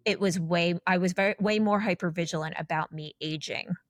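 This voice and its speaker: A woman's voice: lowish voice, brisk, no room sound, clean studio sound. A woman in her forties co-hosting a podcast, talking candidly in a rambling, casual way with many restarts. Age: 40s. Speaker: podcast co-host.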